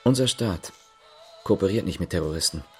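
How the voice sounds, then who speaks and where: A man's voice: low voice, expressive, a little fast, husky, soft background music, heavily acted. An actor playing a sceptical, terse man who probes with questions in a naturalistic radio play. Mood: sceptical, questioning. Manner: probing, terse. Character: sceptical, probing East German man loyal to his state.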